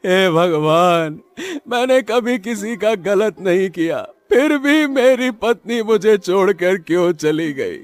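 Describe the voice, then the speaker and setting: High-pitched pleading poor young farmer acted by a man. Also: lively intonation, slow pace, smooth. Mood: anguished. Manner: pleading, wailing, stammering repeats. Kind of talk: Hindi cartoon moral story acting.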